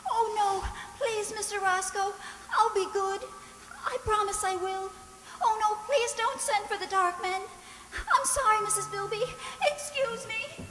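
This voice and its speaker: A woman's voice: very high-pitched, measured pace, sharp tone, faint music behind, full character voice. A woman playing a timid, downtrodden servant girl of about eighteen in a radio drama, meek and pleading. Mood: tearful pleading. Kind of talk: theatrical radio drama acting. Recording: echoey hall, voice with long reverb.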